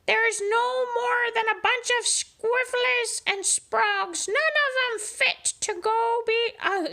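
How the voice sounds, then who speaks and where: A bossy pirate parrot from a storybook squawks in a shrill, very high voice. It stretches out its pirate slang slowly, and the tone stays bright and sharp. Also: female voice, full character voice. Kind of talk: storybook read-aloud.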